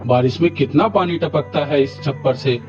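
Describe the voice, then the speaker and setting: A humble, kindly village husband from a cartoon tale, played by a man. The voice is a little high, on a dull recording with faint music. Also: normal speed, a little mellow, lightly acted. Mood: excited. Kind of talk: cartoon story dialogue.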